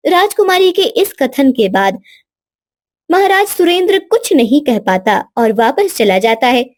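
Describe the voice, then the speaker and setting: A woman's voice: sits high, sing-song, measured pace, no room sound, clean studio sound. A woman storyteller reading scripted narration for a children's moral story.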